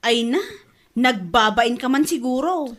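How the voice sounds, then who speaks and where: A woman's voice: a little high, a little slow, natural voice. A woman with an Ilocano Filipino accent acting a nagging, exasperated wife in a radio comedy drama.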